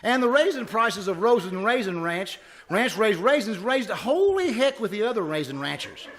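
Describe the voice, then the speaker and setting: A high, sharp-voiced blowhard of a husband played by a man from an old-time radio comedy. He is folksy and cocky, and he blusters through tongue-twisting tall tales. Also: very animated, normal speed. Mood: boastful. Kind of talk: old-time radio comedy acting before a live audience. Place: live stage performance at a winery.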